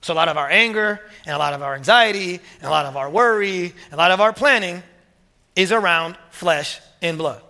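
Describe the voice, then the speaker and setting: A male pastor preaching with energy, his voice high and bright as he presses each point and repeats key words. His sermon rings out with a long reverb in a large hall. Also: fairly quick. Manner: energetic, repeats words, rising to emphatic peaks.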